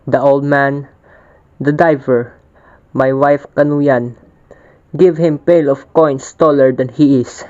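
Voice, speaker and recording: A man playing a young, solemn epic hero and suitor in readers' theater, with formal, deliberate delivery. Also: medium pitch, fairly even intonation, dark tone, highs cut off, lightly acted. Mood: commanding.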